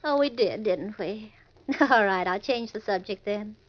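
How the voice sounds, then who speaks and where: A woman's voice: mid-range voice, unhurried, close to an everyday voice. An actress playing a scheming, money-hungry young woman with a babyish voice and a coy, coolly mocking manner in an old-time radio drama. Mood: sweetly menacing. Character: scheming young femme fatale, sweetly menacing, money-hungry. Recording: dull vintage radio recording.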